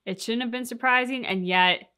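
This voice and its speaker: A woman's voice: fairly high pitch, normal speed, bright, forceful tone, clear, resonant tone. A woman co-hosting a reaction video in casual, conversational talk. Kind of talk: casual chat.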